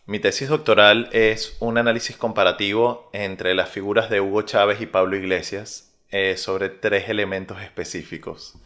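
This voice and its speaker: A young Venezuelan man with a Venezuelan Spanish accent, a researcher answering interview questions in a measured way with frequent pauses. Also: on the low side, flat delivery, fairly bright tone. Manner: measured, many filler "eh" pauses.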